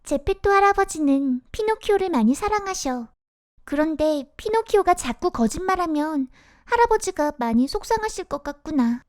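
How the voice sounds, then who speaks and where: A woman playing a gentle, motherly fairy who admonishes softly and in a measured way in a children's storybook. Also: extremely high pitch, animated, no room sound, clean studio sound. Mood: kindly admonishing.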